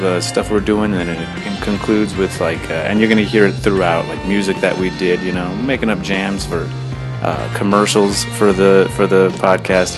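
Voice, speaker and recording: A man hosting a podcast, rambling casually with lots of fillers. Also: sits low. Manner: rambling, filler-heavy, laid-back. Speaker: podcast host.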